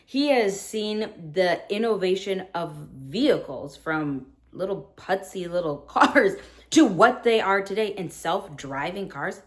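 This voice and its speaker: A woman teacher in her thirties talking earnestly to other teachers about classroom practice, acting out what she says to students. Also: lowish voice. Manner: earnest, animated, acts out her own quoted lines.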